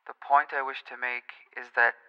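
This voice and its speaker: A man playing an earnest, pedantic conspiracy-theorist caller in a radio play, speaking formally and deliberately, in a muffled, reverberant recording. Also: medium pitch, little pitch movement, clear, resonant tone, lightly acted. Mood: grave, insistent. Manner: deliberate, formal, precise, solemn.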